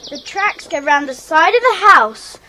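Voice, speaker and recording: A woman's voice: very high voice, lively intonation, measured pace, bright, forceful tone, a little hiss or hum, full character voice. A woman playing a cautious but curious young child detective with a questioning, eager manner in a children's audio drama. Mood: curious, wary.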